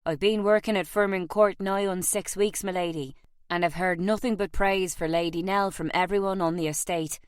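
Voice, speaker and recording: A woman voicing a tough but charming, flirtatious Irishman with a soft voice, in an audiobook story. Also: lowish voice, steady tone, moderate pace, smooth, no echo, clean studio sound, lightly acted. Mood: sincere.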